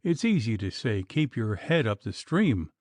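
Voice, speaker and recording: A man voicing a small, panicky young gentleman who lisps and is out of breath. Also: on the low side, very animated, a little slow, no echo, clean studio sound. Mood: strained. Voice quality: lisping. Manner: frantic, pleading.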